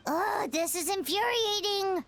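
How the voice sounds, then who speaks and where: A woman voicing a small, childlike, excitable and impatient companion character in a video game, with a squeaky voice. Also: unhurried, a little hiss or hum, full character voice. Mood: frustrated. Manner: excitable, exclamatory. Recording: music playing behind the voice.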